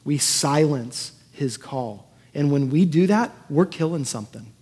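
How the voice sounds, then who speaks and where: Husky male preacher in a reverberant hall. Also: fairly high pitch, expressive, measured pace, a little mellow. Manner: earnest, emphatic repetition. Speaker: pastor. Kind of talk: sermon, conversational preaching to a congregation. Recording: echoey hall with long reverb.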